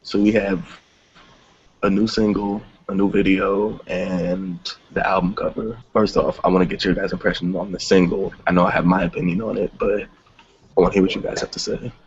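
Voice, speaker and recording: A man's voice: sits low, little pitch movement, a little fast, lo-fi sound, a little hiss or hum. A man from New Jersey hosting a casual music talk show. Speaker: host.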